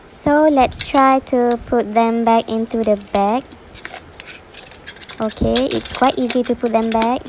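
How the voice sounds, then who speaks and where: Woman doing a chill unboxing voiceover, bit high voice, goes through each thing slowly, slight background hiss. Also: fairly even intonation, darkish tone, rough recording. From Malaysia. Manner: plain, unhurried, describing items one by one. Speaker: unboxing reviewer. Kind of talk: casual product unboxing voice-over. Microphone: voice-over, speaker not seen.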